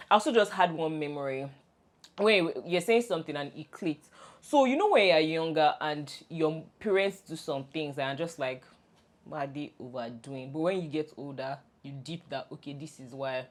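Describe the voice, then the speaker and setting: Lively Nigerian woman telling stories. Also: deep voice, moderate pace. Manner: animated storytelling, laughs often, many restarts. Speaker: podcast co-host. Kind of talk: casual chat between best friends.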